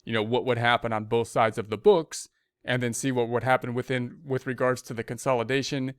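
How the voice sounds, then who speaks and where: A man's voice: mid-range voice, flat delivery, fairly quick, clear, resonant tone, dry recording, studio quality. A man giving an explanatory, loosely scripted lecture as an instructor, repeating and rephrasing as he goes step by step. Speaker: accounting instructor.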